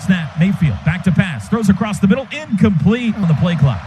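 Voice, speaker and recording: An American man giving live radio play-by-play of a football game in clipped phrases with excited calls. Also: high-pitched, fairly quick, a little hiss or hum.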